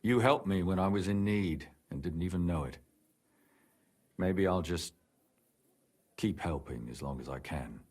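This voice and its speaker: Weary, earnest medieval lawman for a game; very low, husky, measured delivery. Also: darkish tone. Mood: remorseful, earnest. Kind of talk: video game voice acting, dramatic dialogue.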